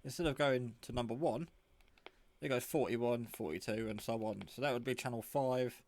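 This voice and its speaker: A man's voice: mid-range voice, moderate pace, darkish tone, no room sound. A man giving a casual, rambling, informal show-and-tell of a piece of hobby gear. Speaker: radio hobbyist showing a handset.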